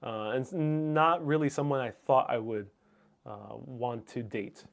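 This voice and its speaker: A man's voice: mid-range voice, measured pace, creaky. A man whose first language is Spanish, hosting a livestream in a casual, musing, somewhat hesitant way as he reads and answers viewer comments. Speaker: livestream host.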